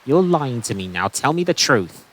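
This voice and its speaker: A woman's voice: extremely low pitch, sing-song, normal speed, a little hiss or hum, low-quality recording. A woman voicing a firm, no-nonsense heroine in an audio drama, direct and challenging. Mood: probing. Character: firm, no-nonsense heroic keeper of magic star pieces, Maple.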